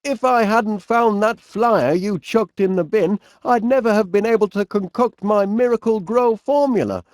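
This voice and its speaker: A man's voice: high-pitched, normal speed, no echo, clean studio sound, natural voice. A man playing a cheerful, bumbling middle-aged inventor in a comedy game, with a Northern English accent and a politely flustered, hesitant manner. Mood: cheerful, eager. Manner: hesitant, stammering politeness with quaint exclamations. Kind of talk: animated comedy game voice acting.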